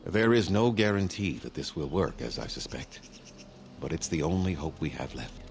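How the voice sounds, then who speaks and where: An actor playing a grave, weary elven clan leader in a fantasy video game, speaking formally and solemnly in measured phrases. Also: male voice, sits low, lively intonation, creaky, a little hiss or hum. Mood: bitter, doubtful. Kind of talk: scripted video game dialogue.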